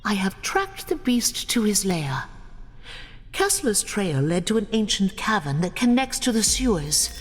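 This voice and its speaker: A woman's voice: medium pitch, very animated, unhurried, faint music behind. An actress playing a resolute young female elf warrior in a fantasy video game, speaking in a solemn, formal way. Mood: grave.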